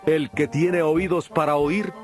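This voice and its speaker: Male actor as solemn, patient preacher in audio Bible drama; fairly high register, measured declamation, music underneath. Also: fairly even intonation, lightly acted. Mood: solemn teaching.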